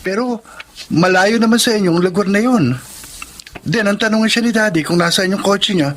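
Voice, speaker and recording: A man's voice: sits high, moderate pace, a bit bright. An actor playing a concerned, sympathetic young man in a Filipino melodrama, earnest and explanatory, with a Filipino accent. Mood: concerned, informative.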